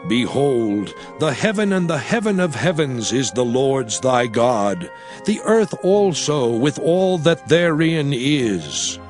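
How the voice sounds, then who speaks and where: A man's voice: mid-range voice, very animated, slow pace, darkish tone. A man playing a grave, commanding prophet addressing his people in a dramatized scripture reading, solemn, declamatory and emphatic. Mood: fervent, exhorting. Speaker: Bible narrator. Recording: music behind the voice.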